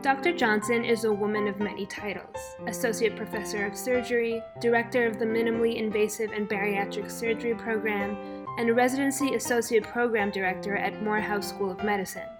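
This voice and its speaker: A woman host reading the scripted introduction to a podcast episode in a smooth, measured way. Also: fairly high pitch. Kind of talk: scripted narration.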